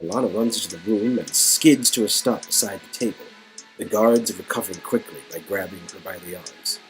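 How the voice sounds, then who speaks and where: A man's voice: lowish voice, a little fast, low-quality recording. A man from Canada narrating an interactive story game as its reader. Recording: music under the voice.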